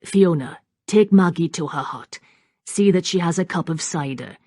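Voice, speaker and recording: A commanding clansman in his prime, voiced by a female narrator for an audiobook. He sounds stern and protective, speaking low and firmly in a dry, close recording. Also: moderate pace, no room sound. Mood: gentle authority. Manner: firm, sharp, authoritative. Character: stern, commanding male clan leader, protective, thirties-aged.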